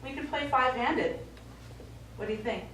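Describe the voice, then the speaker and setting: A woman's voice: sits high, normal speed, fairly bright tone. An actress playing a concerned middle-aged daughter pleading with her elderly father in a stage play. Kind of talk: live stage play acting. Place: small indoor theatre stage. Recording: echoey theatre, distant mic, faint noise.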